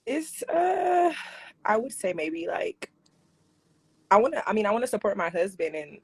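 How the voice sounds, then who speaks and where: Hesitant gravelly-voiced young woman caller. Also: medium pitch, very animated, normal speed. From South America. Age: 20s. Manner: hesitant, lots of fillers.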